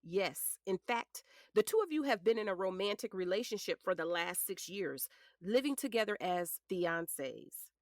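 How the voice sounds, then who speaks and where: A woman acting pointed, lively defense attorney. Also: medium pitch, normal speed, no room sound, studio quality, natural voice. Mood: pointed, cutting. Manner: formal, pointed, methodical cross-examination. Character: sharp, polished female defense attorney in court. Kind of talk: audio drama acting.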